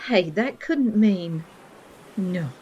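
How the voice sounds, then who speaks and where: A woman's voice: mid-range voice, expressive, drawn-out words, a little mellow, dull audio, a little hiss or hum. A woman playing an upbeat, self-satisfied space hitchhiker, breezy and conversational, in a comic sci-fi audio drama. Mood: curious, excited.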